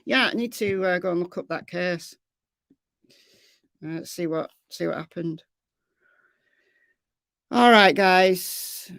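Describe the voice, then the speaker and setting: A middle-aged woman hosting a true crime livestream, chatting casually with viewers in a rambling way, her voice slightly hoarse. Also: on the low side, takes it slowly, long pauses, a bit bright, dry recording. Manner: rambling, chatty, trails off into fillers.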